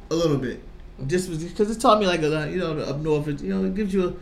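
An American man with a slightly high voice talks in a reverberant room with faint noise behind him. He is in his thirties and chats like a casual podcast host. His speech is slangy, and he often repeats a phrase to drive it home. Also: quick pace. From Virginia, United States. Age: 30s. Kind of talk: casual chat. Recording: echoey room, audible background noise.

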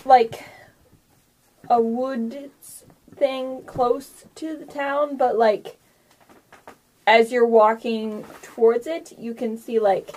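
A woman's voice: on the high side, flat delivery, extremely slow, pauses often, a little mellow, poor audio. A woman acting as game master, narrating a tabletop game casually and explaining things in an informal, conversational way.

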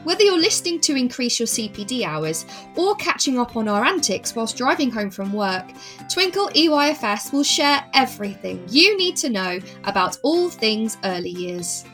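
A woman's voice: on the high side, sing-song, moderate pace, fairly bright tone, faint music behind. A British woman hosting a podcast, bubbly and enthusiastic as she chats with guests. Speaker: podcast host. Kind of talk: lively podcast chat.

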